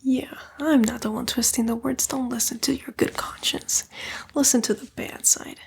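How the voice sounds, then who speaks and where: A woman's voice: high voice, steady tone, moderate pace, full character voice. A woman voicing a sly, sassy female demon in a whispered ASMR roleplay, coaxing and flippant, with disdainful slang. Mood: persuasive, sly. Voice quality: breathy, mostly whispered.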